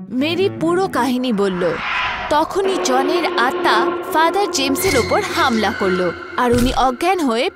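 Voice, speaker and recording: A woman delivers dramatic scripted horror narration. Her voice is high and very animated in pitch. Music plays clearly behind the storytelling.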